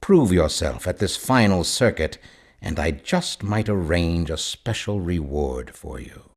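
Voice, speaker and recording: A man's voice: low voice, very animated, measured pace, exaggerated voice. An actor playing a grand, sinister mastermind in a video game, a man with a formal, theatrical, coldly courteous manner. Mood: grand, intrigued. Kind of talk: video game character dialogue.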